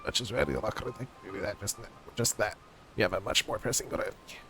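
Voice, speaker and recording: A man's voice: lowish voice, brisk, over music, pitched below the performer's own voice, lightly acted. A man voicing a folksy little goblin-like villager from a video game, with garbled, oddly phrased speech full of doubled words. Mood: earnest, troubled. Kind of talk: reading game dialogue aloud in character.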